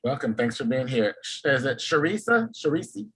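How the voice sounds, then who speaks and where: Bright, breathy man hosting a video call. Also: mid-range voice, big pitch swings, brisk. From Los Angeles. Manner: upbeat, calling on each guest by name. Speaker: workshop host.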